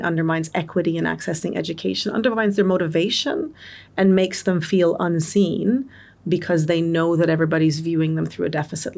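A woman's voice: low voice, creaky, a little mellow. A woman guest giving long, articulate interview answers on education, explaining her points with examples. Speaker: guest expert on multilingual education.

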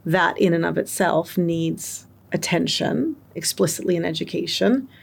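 A woman's voice: on the low side, a little slow, gravelly, husky. A woman guest giving long, articulate interview answers on education, explaining her points with examples. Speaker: guest expert on multilingual education.

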